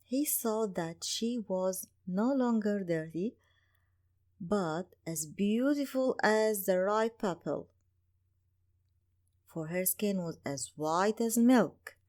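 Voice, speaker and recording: A woman's voice: mid-range voice, animated, takes it slowly, a little mellow. A woman narrating a scripted bedtime story for children as a storyteller. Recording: music playing behind. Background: music.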